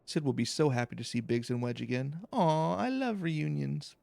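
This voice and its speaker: A man doing a calm, casual let's play, playfully reading along, with game music behind him. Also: on the high side, normal speed, creaky, no echo.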